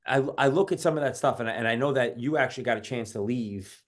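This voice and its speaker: A man's voice: medium pitch, fast. A man in his forties from New York, hosting a podcast interview with chatty, rambling questions. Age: 40s. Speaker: podcast host. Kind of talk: casual interview.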